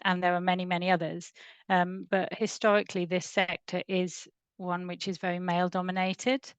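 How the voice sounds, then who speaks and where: A woman moderating a panel discussion, polite and measured as she hands questions to the speakers. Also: on the low side, clean tone, no echo.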